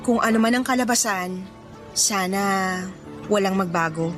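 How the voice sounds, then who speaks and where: A woman acting in a Filipino radio drama as a cheerful, gentle young woman letting down her suitors. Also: medium pitch, moderate pace, soft background music, natural voice. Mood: gentle, serious.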